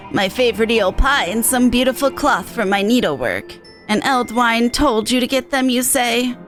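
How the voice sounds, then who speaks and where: A woman voicing a kindly older village mother, a widow in a fantasy game, speaking in a gentle, folksy and fond manner. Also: a little high, expressive, a little slow, faint music behind. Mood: fond, grateful. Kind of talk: acted reading of fantasy game dialogue.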